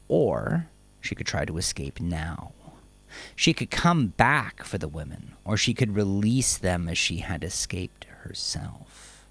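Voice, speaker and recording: Very deep, gravelly male storyteller. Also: a bit bright, no room sound. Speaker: audiobook-style narrator. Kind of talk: dramatic scripted dark fiction narration. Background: music.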